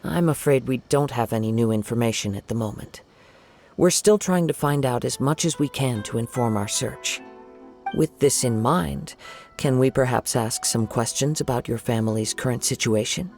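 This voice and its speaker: A courteous young investigator from a video game, played by a man. His medium-pitched voice is measured, thoughtful and reassuring, over faint background music. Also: a little mellow, natural voice. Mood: polite, careful. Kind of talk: video game dialogue acting.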